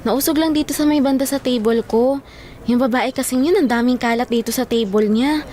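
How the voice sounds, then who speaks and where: A woman acts a flustered, loyal young office worker in a Filipino audio drama. Her voice is very high, with an emotional, indignant manner and a Filipino accent. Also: a little fast, warm tone, a little hiss or hum, natural voice. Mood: puzzled.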